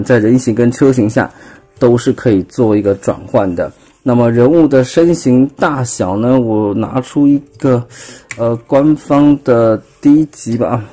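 A man with a Taiwanese Mandarin accent talks through a toy's transformation, pointing out each part as he goes and leaning on filler words. His voice is mellow and husky. He is recorded on a phone at an indoor table, with faint music underneath. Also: medium pitch. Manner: explanatory, filler-heavy, pointing out parts step by step. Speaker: toy reviewer. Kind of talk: casual hands-on toy demonstration.

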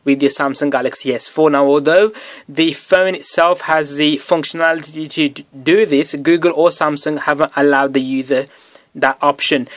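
Male tech host, easygoing how-to delivery, dry room, fairly high voice. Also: dry recording. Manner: relaxed, chatty, many fillers. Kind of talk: casual phone tutorial. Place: indoors at a desk.

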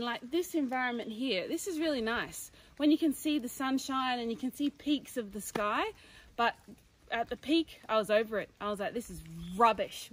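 Expressive young woman on a hiking trail. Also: sits high. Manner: expressive, exasperated, quick to laugh. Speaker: hiking companion. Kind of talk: casual on-camera chat. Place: outdoors on a forest hiking trail. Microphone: phone camera held by companion.

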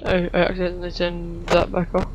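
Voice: medium pitch, completely flat delivery, fairly quick, rough recording. A gamer giving casual, rambling live commentary on a video game, muttering asides along the way. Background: game music and sounds.

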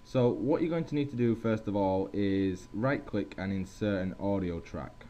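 A young man giving a casual software tutorial as host, on a headset mic. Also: on the low side, normal speed. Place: at a computer. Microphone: gaming headset. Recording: dull headset mic with faint hiss.

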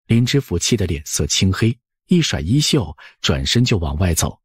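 A man's voice: sits low, very animated, moderate pace, husky, no room sound, clean studio sound. A man narrating an audiobook in a scripted storytelling style.

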